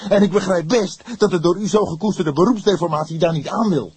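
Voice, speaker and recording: A man voicing an indignant young male suspect in an audiobook, loud and heated. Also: high voice, a little fast, husky, dry recording, voice pushed up. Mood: furious.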